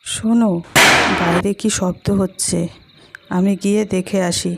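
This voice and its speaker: A woman's voice: mid-range voice, fairly even intonation, unhurried, very noisy, close to an everyday voice. A woman playing an anxious, protective village mother in a cartoon, worried and questioning. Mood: uneasy. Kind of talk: animated story dialogue.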